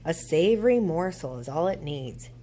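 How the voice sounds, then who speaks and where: A woman's voice: low voice, animated, moderate pace, dark tone. A woman voicing a gleeful old witch from a storybook, theatrical and cackling, with a chanting delivery. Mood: chanting, coaxing. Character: gleeful old witch cooking bone soup.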